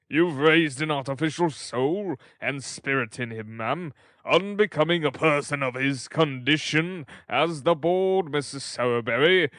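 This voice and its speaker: A man's voice: on the high side, fairly bright tone, no room sound, clean studio sound. A man voicing a pompous middle-aged parish beadle who lectures sternly. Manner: stern and self-important.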